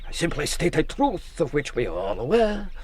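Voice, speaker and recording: An actor playing a courteous, shrewd French general, formal and persuasive with quiet menace, in a period radio drama. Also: male voice, a little high, wildly swooping pitch, moderate pace. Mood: quietly menacing.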